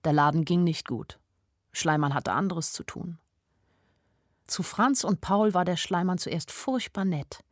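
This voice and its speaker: A woman's voice: on the low side, unhurried, no echo, studio quality, lightly acted. An actress playing an anxious young woman who narrates her own story in a radio drama, in a plain, introspective way. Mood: sober narrating.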